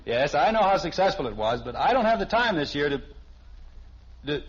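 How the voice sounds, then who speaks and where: A man's voice: fairly high pitch, sing-song, moderate pace, husky. An actor playing an exasperated middle-aged suburban father with an American accent, flustered and wry, in 1950s radio sitcom style. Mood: flustered, placating. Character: exasperated middle-class suburban father, easily put upon. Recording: dull, hissy old radio recording.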